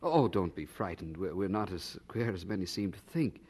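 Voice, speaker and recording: Low, husky, eager young churchman. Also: animated, normal speed, a little mellow. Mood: encouraging, earnest. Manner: earnest, slightly stammering. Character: kindly, eager young male Oxford churchman, sincere and gently probing. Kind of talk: radio drama acting.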